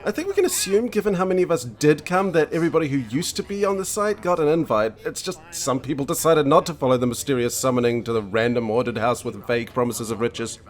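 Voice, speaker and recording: A man's voice: mid-range voice, animated, fast talker, hardly any pauses. A man speaking casually and a little pedantically, acting in a scripted comedy web drama.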